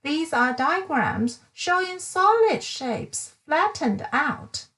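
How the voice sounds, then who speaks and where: A woman's voice: high voice, sing-song, takes it slowly, a bit bright, voice pushed up, full character voice. A woman voicing a helpful male carpenter in a storybook, explaining in a matter-of-fact way.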